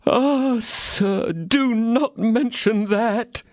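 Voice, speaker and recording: A man's voice: high-pitched, big pitch swings, a little slow, no echo, studio quality, voice pushed up. A man voicing a frightened, fawning innkeeper who pleads in a lamenting tone. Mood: pleading. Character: trembling fawning middle-aged male innkeeper.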